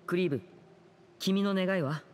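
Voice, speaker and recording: A man playing a composed, kind young stage magician in an anime game, speaking in a measured, earnest and soft way. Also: high-pitched, big-room sound, natural voice. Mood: gentle, thoughtful. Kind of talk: Japanese anime game dialogue acting.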